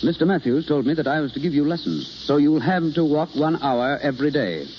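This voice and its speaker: An actor playing a suave, exacting drama teacher in a 1930s radio play, speaking in a crisp, instructive, theatrical way. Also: male voice, a little high, expressive, brisk, natural voice. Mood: brisk instruction. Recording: muffled, hissy 1930s radio broadcast recording.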